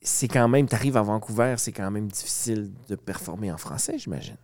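A woman's voice: rumbling low, brisk, a little mellow. A woman hosting a backstage interview, asking chatty questions. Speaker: interviewer, host.

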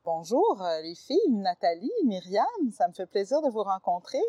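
A woman's voice: mid-range voice, animated, normal speed, heavy background noise. A woman, interviewing guests in a friendly, encouraging way. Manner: friendly, encouraging with short reactions. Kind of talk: casual conversational interview.

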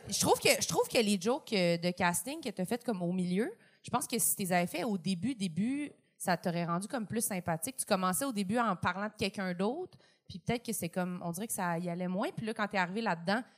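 A woman's voice: medium pitch, animated, fairly quick. A Québécois French-accented woman giving blunt, swearing, self-deprecating feedback to a comedian. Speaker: panel comedian. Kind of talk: casual feedback banter.

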